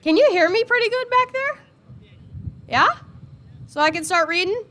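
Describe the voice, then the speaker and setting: High-voiced woman calling out raffle numbers. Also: lively intonation, pushed and bright. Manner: playful, teasing, reads numbers slowly. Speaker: raffle announcer at a race event. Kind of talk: casual announcing to a crowd.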